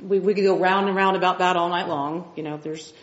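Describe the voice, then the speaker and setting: A woman on a city's planning staff, patiently and clearly explaining the code at a public hearing in a meeting hall. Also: on the low side, steady tone, a little fast. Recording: roomy, dull meeting-hall recording.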